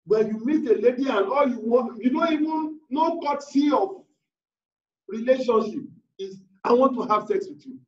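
A male pastor preaches and prays with a very high-pitched voice. He makes emphatic, repeated declarations. The video-call sound is distant and muffled. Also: moderate pace, a little mellow, echoey room. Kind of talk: sermon and forceful prayer declarations on video call. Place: indoors, online video call. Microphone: video call device mic.

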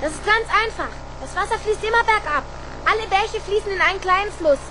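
An extremely high, animated young boy, eager and curious as a little inventor in a children's radio play. A loud vehicle drone runs behind the childlike voice. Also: fairly quick, background noise. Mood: eager, clever.

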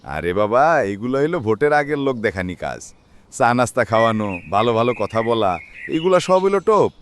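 Mocking village sceptic, a man acting, swinging pitch. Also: very animated, normal speed, slight background noise, close to an everyday voice. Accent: rural Bangladeshi Bengali. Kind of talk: cartoon voice acting.

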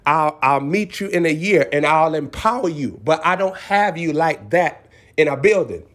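A man's voice: a little high, lively intonation, moderate pace, a bit bright. A man preaching as a self-styled prophet in an impassioned exhortation, emphatic and repeating short phrases for effect.

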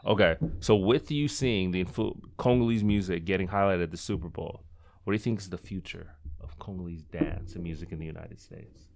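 A man's voice: sits low, gravelly, darkish tone. A young man hosting a podcast in a small studio, casual and teasing with his guest. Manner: joking, teasing, quick agreeing backchannels. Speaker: podcast host. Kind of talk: casual interview chat. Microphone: studio mic on boom arm.

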